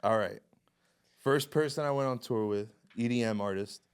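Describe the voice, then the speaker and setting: A young man from the Miami area telling stories as a podcast guest in a relaxed, casual way, laughing often and mixing in Spanish. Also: mid-range voice, moderate pace, smooth. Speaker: podcast guest, photographer and videographer. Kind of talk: casual chat.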